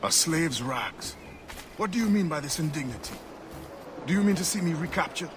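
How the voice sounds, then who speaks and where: A man's voice: fairly high pitch, expressive, natural voice. Guy acting as seasoned ship captain from a video game, ex-slave, formal and guarded, lots of background noise. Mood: indignant. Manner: formal, measured. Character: proud, seasoned freed-slave sea captain, guarded and principled. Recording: noisy ambience behind game dialogue.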